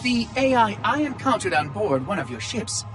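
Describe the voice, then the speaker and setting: A fussy, high, lively machine mind. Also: male voice, moderate pace, music in the background. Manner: prim, precise, fretful. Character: fussy ancient machine intelligence, male. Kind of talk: video game cutscene acting. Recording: game audio with loud score.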